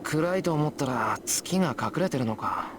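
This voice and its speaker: An actor playing a good-natured, earnest teenage schoolboy in a Japanese visual novel, low-key and musing, with music behind. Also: male voice, animated, fast talker, a bit bright, a little hiss or hum. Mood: quiet musing. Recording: background music under voice.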